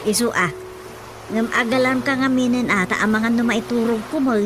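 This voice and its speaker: An actress playing a practical middle-aged wife in a radio drama, speaking with an Ilocano accent in a matter-of-fact, chatty way. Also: female voice, fairly high pitch, steady tone, moderate pace, lightly acted. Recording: music bed under the dialogue. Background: music.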